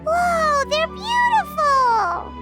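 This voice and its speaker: Tiny excitable game fairy: very high, squeaky, animated delivery, music underneath. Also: female voice, very slow, heavily acted. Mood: excited. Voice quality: squeaky, childlike. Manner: exclamatory, refers to self in third person. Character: tiny excitable childlike fairy companion, female. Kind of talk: video game character acting.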